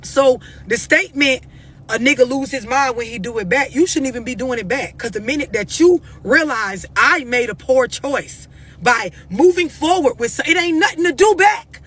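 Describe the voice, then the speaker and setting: A woman's voice: high-pitched, expressive, moderate pace, a bit bright, breathy edge. An American woman giving blunt, unscripted relationship advice on a live stream, confrontational and emphatic, pressing her questions insistently. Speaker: host of a live stream talking to followers.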